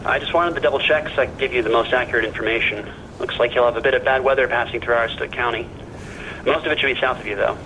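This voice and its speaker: A man's voice: medium pitch, steady tone, quick pace, noisy background, lightly acted. An actor playing a worried, fussy male friend in a naturalistic audio drama, hesitant and fretful. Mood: concerned, informative.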